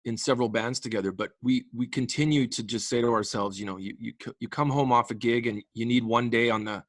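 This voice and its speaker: A man's voice: medium pitch, fairly even intonation, fast, no room sound, clean studio sound. A man in his late forties from the Canadian prairies, chatting as a guest musician in a casual interview, enthusiastic and rambling with frequent restarts. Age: late 40s.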